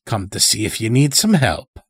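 A man voicing a stout, sly old farmer in an audiobook story, with a folksy drawl and unhurried, dragged-out words. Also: on the low side, big pitch swings, slightly rough, no echo, clean studio sound, exaggerated voice. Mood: sly, easygoing.

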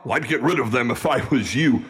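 An actor playing a blunt, blustery American army veteran in a radio play, plain-spoken and emphatic. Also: male voice, medium pitch, brisk, fairly bright tone, natural voice. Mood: fed up.

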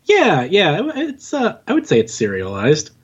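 A man's voice: a little high, wildly swooping pitch, normal speed, breathy edge. A man chatting casually as an interview guest, wry and self-deprecating, on a dull remote connection. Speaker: interview guest, fiction podcast writer.

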